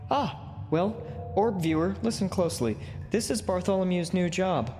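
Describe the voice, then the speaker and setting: A man's voice: sits high, animated, moderate pace, darkish tone, faint music behind. Man as a theatrical pit boss, swooping intonation. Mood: polite, presenting. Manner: theatrical, formal, genteel phrasing. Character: chatty, polite male pit boss guiding new hires. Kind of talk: comedic fantasy audio drama acting.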